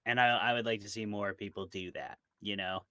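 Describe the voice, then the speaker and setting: A man's voice: fairly low pitch, fairly even intonation, a little fast, sharp tone, no room sound. An American man chatting casually and informally as an interview guest, giving his opinions with some hedging. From small rural town in United States. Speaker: guest, author and podcast co-host.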